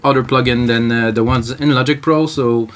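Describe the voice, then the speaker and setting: A man's voice: medium pitch, fairly even intonation, normal speed, fairly bright tone. French Canadian man rambling through a tutorial. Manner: loose, rambling, thinks aloud with fillers. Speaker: music production tutor. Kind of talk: live music production tutorial. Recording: electronic music playing under the voice. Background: faint electronic music.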